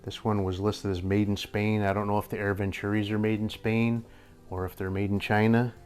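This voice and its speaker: An older man with a slightly hoarse voice, rambling through a casual airgun review in a workshop and chuckling often. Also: fairly low pitch, level tone, a little fast, a little mellow, faint music behind. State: a little hoarse. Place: indoor workshop with tool wall. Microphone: camera at a few feet.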